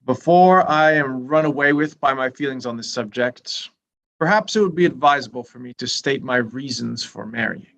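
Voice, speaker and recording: A man performs a pompous young clergyman in a period drama reading. His medium-pitched voice delivers formal, long-winded sentences with obsequious self-importance. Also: normal speed, vocal fry, fairly bright tone. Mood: pompous, earnest.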